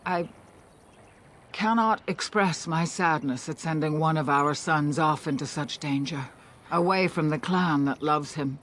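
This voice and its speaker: A man's voice: a little high, measured pace, fairly bright tone, a little hiss or hum. An actor, a man by voice, playing a solemn, caring elven clan leader in a fantasy game, with earnest, formal speech. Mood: sorrowful, proud. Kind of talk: video game cutscene acting.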